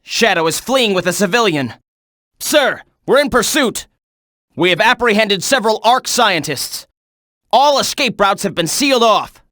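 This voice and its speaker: A video game soldier in the thick of battle. The delivery is clipped and urgent, with a sharp, forceful edge and animated swings in pitch. It sounds close and dry. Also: male voice, unhurried, dry recording, full character voice. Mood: urgent, commanding. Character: tense military soldier barking combat reports and orders.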